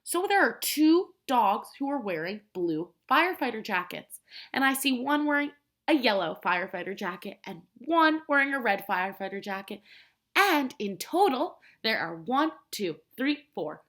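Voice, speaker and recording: High-pitched, animated woman reading to preschoolers. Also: moderate pace. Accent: American. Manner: simple, expressive child-directed talk, repeating and prompting. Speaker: preschool teacher. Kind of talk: children's read-aloud with questions to the listeners.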